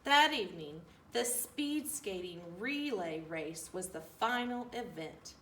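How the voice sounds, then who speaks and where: A woman reading a children's storybook aloud with an animated, deliberate, singsong delivery. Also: mid-range voice. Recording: roomy, distant mic, faint room noise.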